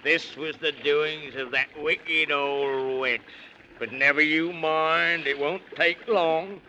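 Bright, blustery walrus, noisy recording. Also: male voice, fairly high pitch, fairly even intonation, slow pace, highs cut off, full character voice. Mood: reassuring. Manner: hearty, colloquial nautical rhymed talk. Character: gallant, jolly old-salt walrus, helpful and blustery. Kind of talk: 1930s children's radio acting. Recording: noisy 1930s radio recording.

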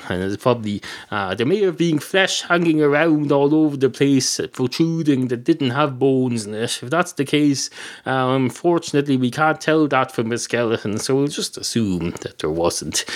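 Podcast host, male, a little hoarse, rambling and absurd, very lively pitch swings. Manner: meandering, absurd, swearing, deadpan asides. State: slightly hoarse. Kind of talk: rambling solo podcast monologue.

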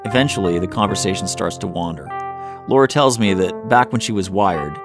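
Man, very deep voice, calm documentary narration, guitar music underneath. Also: a little fast. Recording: music behind voice.

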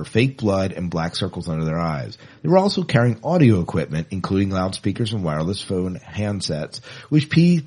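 Low, husky-voiced man co-hosting a podcast. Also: a little mellow. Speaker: podcast co-host. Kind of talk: casual chat, reading odd news items.

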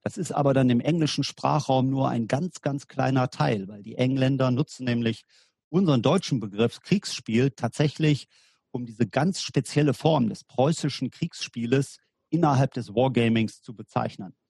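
A man's voice: mid-range voice, normal speed, vocal fry, darkish tone, breathy edge, dry recording, clean studio sound. A man from Germany, a guest on a podcast interview, explaining his field at length in a hesitant way with many fillers. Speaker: guest, war gaming lecturer.

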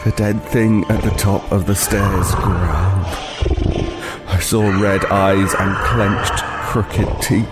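An actor playing a haunted, remorseful homeless killer, narrating a horror audio drama gravely and with anguish. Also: male voice, deep voice, steady tone, slow pace, loud music behind the voice. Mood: horrified.

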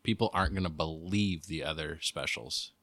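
A man's voice: a bass voice, moderate pace, a bit bright. A man chatting casually as a co-host on a podcast. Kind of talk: casual chat.

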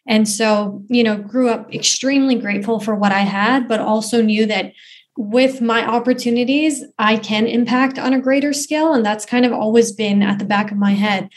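A woman's voice: on the high side, fairly even intonation, normal speed. Earnest young woman guest. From Canada. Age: 20s. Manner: earnest, thoughtful, frequent "you know" and "um". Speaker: guest, footballer and activist. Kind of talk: earnest interview answers.

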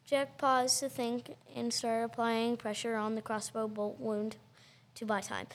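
A woman's voice: a little high, flat delivery, unhurried, slight background noise. A woman reading scripted narration for an audio drama in a storytelling style.

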